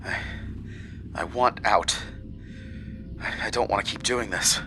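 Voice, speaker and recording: An actor playing a frightened, confused male prisoner in a horror audio drama, halting and stammering as he speaks. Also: lowish voice, noisy background, natural voice. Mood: confused, distressed.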